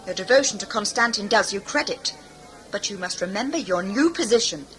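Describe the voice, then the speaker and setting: A woman's voice: mid-range voice, expressive, a little fast, fairly bright tone, slight background noise, close to an everyday voice. A woman playing a strict, formal mentor scolding a young priestess in a sci-fi audio drama. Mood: stern, scolding.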